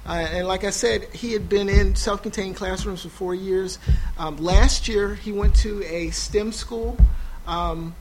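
A man speaking on a panel about his son's schooling, halting with many fillers and restarts. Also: high voice, steady tone, slight background noise, low-quality recording. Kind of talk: personal testimony at a panel. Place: small intimate room. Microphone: no PA, recorded for a podcast.

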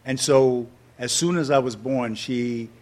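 Man, sixties, from new york city, interview guest, storyteller, animated, laughs a lot, middle pitch. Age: 60s. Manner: animated storyteller, laughs often, drops into slower reflective passages. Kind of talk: storytelling before an audience.

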